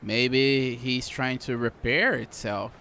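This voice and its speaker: A man giving casual gameplay commentary as a streamer, in short, relaxed-paced remarks. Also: mid-range voice, slow pace, a little hiss or hum. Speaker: gaming streamer. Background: loud game music.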